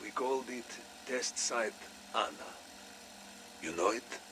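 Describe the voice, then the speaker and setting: A man playing a shrewd, wary Russian spy in a video game, with a Russian accent and measured, sly phrasing. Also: medium pitch, noisy background, lightly acted. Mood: guarded, firm. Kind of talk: cinematic video game voice acting.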